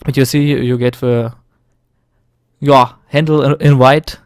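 A man's voice: mid-range voice, flat delivery, unhurried, clear, resonant tone, dry recording, clean studio sound. Nervous young man with a German accent. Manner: nervous, hesitant, many fillers and restarts. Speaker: gaming tutorial host. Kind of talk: screen-recorded tutorial narration.

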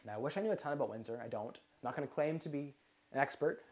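Young man, rapid delivery, casual and animated, living room, camera mic. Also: medium pitch. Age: 20s. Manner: energetic, talks with his hands, lots of "like". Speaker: livestream host. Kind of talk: live Q&A on investing. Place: living room with a couch, indoors.

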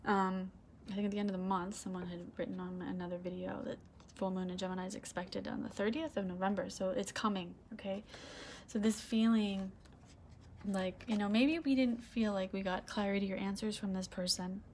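A woman giving a casual tarot reading to camera, soft-spoken with a low, husky voice that is starting to give out, often asking "okay?"; recorded indoors on a faulty microphone. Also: steady tone, fairly quick, slight background noise. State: losing her voice, clearing throat. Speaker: tarot reader. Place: indoor room, red curtains and candles on a table. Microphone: broken microphone, quiet.

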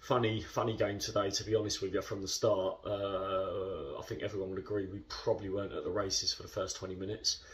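A man in his thirties, a football club captain, talking through a match in a post-match interview, chatty and measured with many fillers. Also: low voice, steady tone, fairly bright tone, far from the mic. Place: indoor club room.

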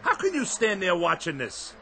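An actor playing a guilty middle-aged husband, defensive and pleading in a radio drama. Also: male voice, high-pitched, huge pitch swings, normal speed, gravelly, a bit bright, full character voice. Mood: panicked.

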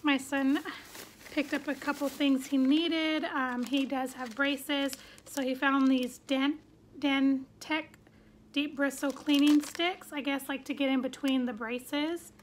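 A chatty woman in her thirties walks through her shopping haul on a phone camera at arm's length. Her voice sits high and bright. A steady hiss of noise runs beneath her voice. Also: slow. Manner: chatty, many "um" fillers, upbeat. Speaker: vlogger. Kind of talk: casual shopping haul chat. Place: bedroom at home, sitting on the floor.

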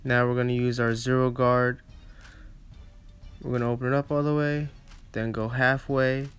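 Clear, even-toned man giving casual instructions. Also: medium pitch, normal speed, faint music behind. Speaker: barber giving a tutorial. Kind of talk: casual step-by-step instructional narration.

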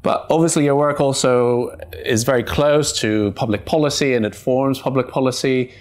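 A man's voice: medium pitch, steady tone, moderate pace. A man working as an interviewer, putting considered questions and comments to a guest.

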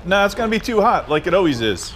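A man's voice: high voice, very animated, quick pace, noisy background. A man vlogging with a chest camera while browsing a covered outdoor flea market, chatting casually and friendly with sellers. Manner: easygoing, friendly small talk with sellers. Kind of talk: casual chat while shopping. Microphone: chest-mounted action camera. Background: crowd chatter.

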